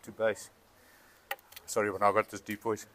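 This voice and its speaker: A South African man chairing a town meeting, speaking earnestly and haltingly with many ums and switching between Afrikaans and English, into a PA microphone in a hall. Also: fairly low pitch, level tone, fairly bright tone. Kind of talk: public address at a town meeting. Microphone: PA microphone with feedback.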